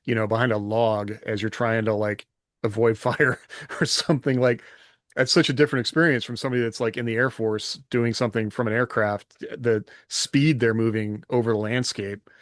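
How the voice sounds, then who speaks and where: A man's voice: medium pitch, steady tone, normal speed, dry recording, studio quality. A man talking casually and conversationally as a co-host on a podcast. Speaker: podcast co-host and science fiction author.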